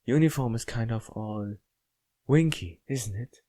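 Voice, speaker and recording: A man voicing a friendly, cheerful young female coworker in a visual novel, chirpy and gently teasing. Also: mid-range voice, unhurried, pitched above the performer's own voice, natural voice.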